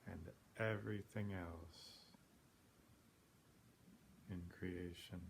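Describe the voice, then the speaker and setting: A man leading a guided meditation in a plain-spoken, unhurried way, leaving long pauses between phrases. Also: sits low, steady tone. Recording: clearly noisy background ambience.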